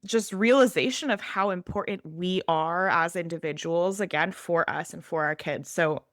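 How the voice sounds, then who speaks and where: Woman podcast host, lower-pitched, close dry sound. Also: normal speed, dry recording. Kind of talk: conversational interview.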